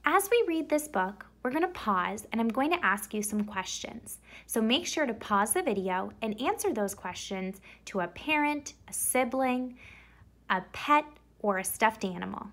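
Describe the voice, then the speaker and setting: A woman reading aloud as a storyteller for children, expressive and deliberate, addressing young listeners directly. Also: on the high side. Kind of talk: expressive children's picture-book narration.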